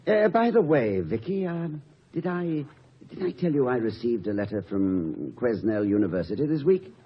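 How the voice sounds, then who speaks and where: An actor playing a gentle, wry, middle-aged college president with a hesitant, wordy way of talking, in a 1950s radio drama. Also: male voice, mid-range voice, very animated, moderate pace, natural voice. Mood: hesitant, downcast. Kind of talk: 1950s American radio comedy-drama acting. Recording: muffled, noisy old radio recording.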